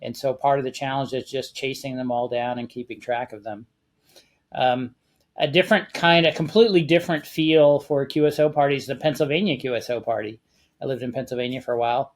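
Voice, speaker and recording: A man with an American accent talking casually and explaining a hobby step by step, with a measured, conversational manner. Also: mid-range voice, steady tone. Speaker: amateur radio contest guest expert.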